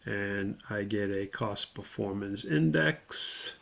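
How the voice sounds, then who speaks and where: A man giving a methodical, step-by-step spoken tutorial as an instructor, with a creaky voice. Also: on the low side, slow pace, a bit bright. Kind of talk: spoken screen-share tutorial.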